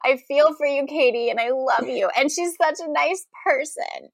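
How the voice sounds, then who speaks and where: A woman's voice: sits high, normal speed, no echo. A woman co-hosting a podcast in casual chat, talking in a gushing, enthusiastic way. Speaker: podcast co-host.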